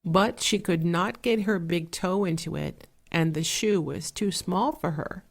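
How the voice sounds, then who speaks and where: A soft-spoken woman narrating a fairy tale in a measured, relaxing way, with an 8D surround panning effect. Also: fairly low pitch. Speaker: storyteller.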